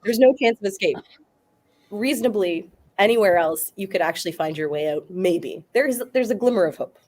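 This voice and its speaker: A woman's voice: medium pitch, expressive, a little fast, darkish tone. A woman arguing her point emphatically in a casual debate, as a guest on a talk show.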